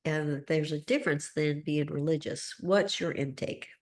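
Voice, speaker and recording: A woman's voice: deep voice, normal speed, echoey room. A woman podcast host with a cold, sounding a little nasal, chatting in a friendly way. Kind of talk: podcast interview.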